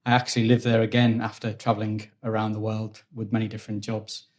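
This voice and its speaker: A Liverpool man in his forties tells stories at a relaxed pace in an office interview. His voice stays level and even, with little rise and fall. He often stumbles over and repeats words while he looks for the next thought. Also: fairly low pitch, fast. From Liverpool, England. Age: 40s. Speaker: guest, engineering executive. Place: office at company headquarters.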